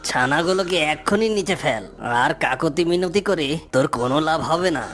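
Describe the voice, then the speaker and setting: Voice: mid-range voice, a little fast, a bit bright, soft background music, close to an everyday voice. An actor voicing a greedy, mocking fox villain in a cartoon, with a taunting, bullying manner. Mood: threatening. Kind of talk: cartoon character dialogue.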